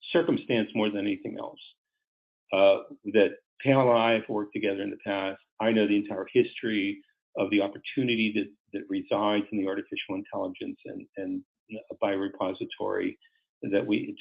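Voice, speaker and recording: A middle-aged or older man, a company executive, speaking earnestly and deliberately to investors on a conference call, formal but halting, often repeating words. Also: on the low side, creaky. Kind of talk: semi-scripted remarks to investors on a conference call. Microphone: conference call line. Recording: dull, lo-fi conference call audio.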